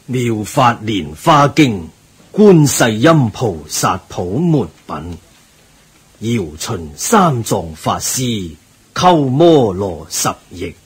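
A solemn Buddhist monk, unhurried and very husky. His delivery is earnest and devout, with a wide rise and fall in a low-leaning voice. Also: very animated, heavily acted. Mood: solemn recitation. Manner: measured, earnest, deliberate. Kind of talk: Cantonese radio drama acting.

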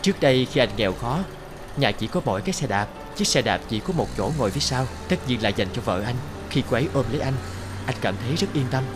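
An actor playing a courteous, devoted married office man in his thirties in a cartoon, polite and earnest in measured phrases. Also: medium pitch, lively intonation, music in the background, natural voice. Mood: fond, earnest. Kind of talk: cartoon dub acting.

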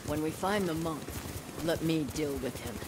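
A woman's voice: low-pitched, moderate pace, noisy background, close to an everyday voice. An actress voicing an older samurai noblewoman in a video game, grim, bitter and commanding. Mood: vengeful. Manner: stern, clipped, commanding. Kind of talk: dramatic game dialogue.

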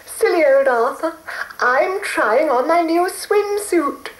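A man's voice: expressive, slow pace, full character voice. Mavis, a vain giggly fairy, as a man voices her. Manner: high, sing-song and scornful. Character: vain, giggly female fairy, plump and airy. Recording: roomy echo with loud hiss.

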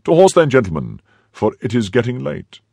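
A man voicing a commanding male cardinal who asks brisk, authoritative questions, pitched well above his own husky voice. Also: wildly swooping pitch, warm tone, no echo, full character voice.

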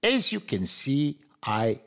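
Croatian accent guy doing a software tutorial, slow careful english, voice jumps up and down a lot. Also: mid-range voice, huge pitch swings, gravelly. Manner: slow, deliberate, non-native phrasing with fillers. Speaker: software trainer. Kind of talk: screen-recorded tutorial.

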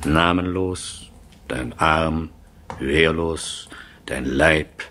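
An actor playing a jovial, business-minded aristocratic castle lord in a 1970s radio play, chummy and grandiose with pauses for effect. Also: male voice, very deep voice, bright, forceful tone, a little hiss or hum, full character voice. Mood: ominous, relishing.

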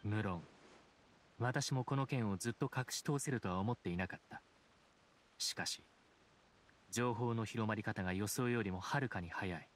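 An actor playing a dignified, aloof judge in a game, speaking in a formal, measured, reserved way. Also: male voice, on the low side, a little hiss or hum, lightly acted. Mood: grave, measured. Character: solemn, dignified supreme judge, an aloof grown man. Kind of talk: Japanese game voice acting.

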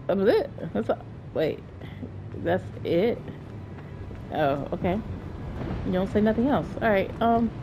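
A young woman with a stuffy head cold, chatting casually and excitedly while playing a video game. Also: on the low side, noisy background. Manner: chatty, excitable, rambling, self-talking. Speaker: gameplay streamer. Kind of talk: casual let's-play commentary.

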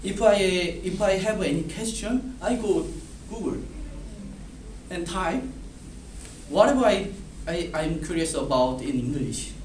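Slow Korean-accented man reading a speech. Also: sits high, lively intonation. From South Korea. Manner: halting, repeats and restarts words, gestures while reading. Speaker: student giving a speech. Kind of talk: reading a prepared class speech. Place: classroom in front of a whiteboard. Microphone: far camera. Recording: roomy, distant, noisy classroom audio.

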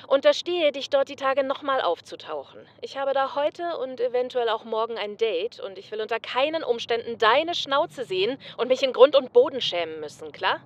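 An actress playing a brusque, scolding informant in a comedy audio drama, curt and bossy. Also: female voice, on the high side, animated, normal speed, few pauses, natural voice. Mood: scolding, irritated. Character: brusque, scolding female informant helping detectives.

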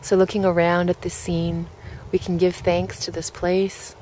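Low-pitched woman guiding a relaxation. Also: level tone, slight background noise, low-quality recording. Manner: gentle, measured phrasing with pauses. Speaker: guided relaxation host. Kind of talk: guided relaxation, meditation narration.

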